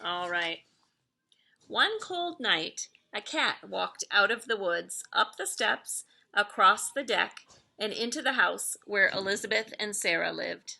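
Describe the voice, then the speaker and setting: A middle-aged woman reading a children's story aloud in an expressive, deliberate storytelling manner. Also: medium pitch, creaky. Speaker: elementary school staff member reading aloud to pupils.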